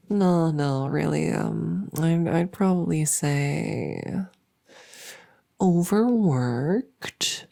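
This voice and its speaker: A woman playing an anxious, overworked but friendly monster under the bed in a comedic ASMR roleplay, rambling and stammering softly, often slipping into a whisper. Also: low-pitched, vocal fry, full character voice. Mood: stressed, earnest. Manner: rambling, nervous, stammering and repeating herself.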